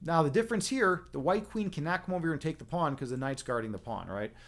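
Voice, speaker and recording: A man's voice: fairly high pitch, animated, fast. Chatty man explaining chess moves. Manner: rambling, with frequent fillers and tag questions like "right?". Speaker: chess teacher and livestream host. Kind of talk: casual livestream chat and chess explanation.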